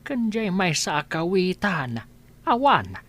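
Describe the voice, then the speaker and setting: A woman's voice: lowish voice, very animated, slow, fairly bright tone, no room sound, full character voice. A woman playing an elderly village father in an Ilocano comedy radio drama, concerned and scolding, with an Ilocano accent and an exclaiming manner. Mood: dismayed.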